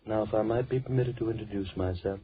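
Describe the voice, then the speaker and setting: An actor playing a lonely, sinister recluse with formal, overly polite, measured speech in an old radio horror drama. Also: male voice, fairly low pitch, steady tone, lightly acted. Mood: courteous, welcoming. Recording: muffled, noisy old radio recording.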